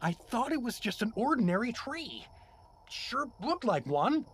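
A man's voice: very high voice, very animated, a little slow, a bit bright, slight background noise, full character voice. An actor playing a dopey, middle-aged everyman dad in a comedic audio drama, sarcastic, flustered and blustering. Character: dopey, indignant everyman dad, a wisecracking prisoner.

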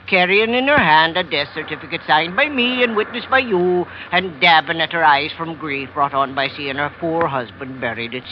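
An actor playing a gleeful, theatrical schemer in an old-time radio drama, with lilting, florid phrasing. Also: male voice, a little high, close to an everyday voice. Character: gleeful, scheming villain aboard ship, theatrical and wheedling. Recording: dull vintage radio recording, faint noise.

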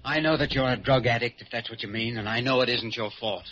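A man's voice: medium pitch, fast talker, a bit bright, close to an everyday voice. A man playing an earnest, compassionate young hospital doctor with a persuasive manner in old-time radio drama. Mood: earnest, pleading. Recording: muffled, hissy vintage radio recording.

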